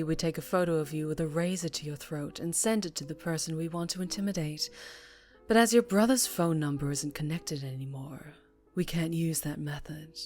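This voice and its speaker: Female mafia boss, voiced by an actress, low-pitched and breathy, teasing menace, ASMR roleplay over faint music. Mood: calm threatening. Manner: teasing, taunting, softly threatening. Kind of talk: scripted ASMR roleplay monologue.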